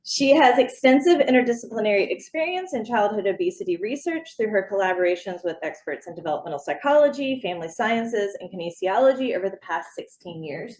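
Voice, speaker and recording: A woman introduces a keynote speaker, reading a prepared bio at a measured pace. Faint noise sits behind her voice. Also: medium pitch, animated, darkish tone. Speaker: event host introducing speaker.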